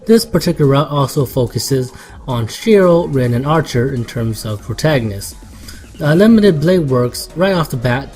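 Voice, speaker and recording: A man delivers a scripted game review in a voice of medium pitch. He speaks as a reviewer presenting his assessment, with music playing faintly behind him.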